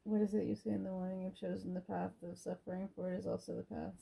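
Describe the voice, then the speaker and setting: A woman talks casually in a dark, relaxed voice full of heavy creak. It has a husky edge. She is opinionated and emphatic as she speaks with her partner. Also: lowish voice, fast. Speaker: vlogger and mother. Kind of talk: casual religious chat with partner.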